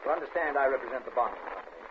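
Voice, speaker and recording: An actor playing a formal, persistent insurance investigator in a 1940s radio drama. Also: male voice, medium pitch, animated, racing, natural voice. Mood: probing. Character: probing male bonding company investigator, formal, persistent. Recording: muffled old radio, clear noise.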